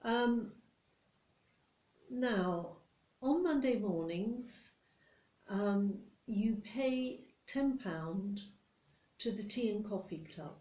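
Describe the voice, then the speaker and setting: A British woman playing a stern, condescending, nitpicking office boss in a deliberate ASMR roleplay, speaking straight to the listener. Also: medium pitch, lively intonation, mellow, dull audio. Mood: stern, disapproving. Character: strict, nitpicking female office boss telling off a new employee. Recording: roomy echo.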